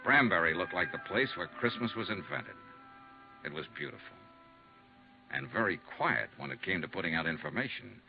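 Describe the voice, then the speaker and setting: An actor playing a hard-boiled American insurance investigator, clipped and wry, in a 1950s radio detective show. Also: male voice, low-pitched, sing-song, moderate pace, creaky, over music, heavily acted. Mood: dry narrating. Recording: muffled 1950s radio recording.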